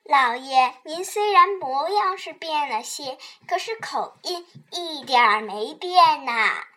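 A woman voicing an eager, polite and fussy young servant boy in a children's radio play, in an excitable, pleading manner. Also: high voice, takes it slowly, full character voice. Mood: cheerful.

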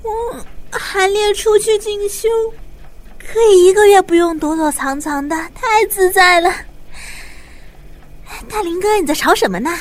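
A woman's voice: very high-pitched, a little slow. A woman playing an eager, earnest and polite young would-be chef in a modern urban audio drama. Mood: cheerful, curious.

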